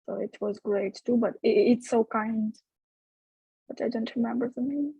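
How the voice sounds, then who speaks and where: A woman chatting in English in a group, hesitant and halting, with many fillers. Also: a little high, gravelly, dark tone, husky, no echo, rough recording. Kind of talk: casual group chat in English.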